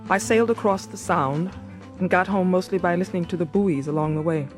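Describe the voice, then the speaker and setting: A woman's voice: on the low side, brisk, close to an everyday voice. An actress in a radio drama playing a conflicted, principled married woman of thirty-eight, intimate and emotionally charged. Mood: reflective narration. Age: 30s. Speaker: lead actress and narrator. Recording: 1980s radio drama, music behind.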